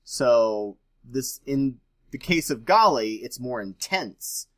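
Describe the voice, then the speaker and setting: A man's voice: mid-range voice, animated, takes it slowly. A man reading aloud and adding his own informal commentary as host, with a skeptical, dryly sarcastic manner.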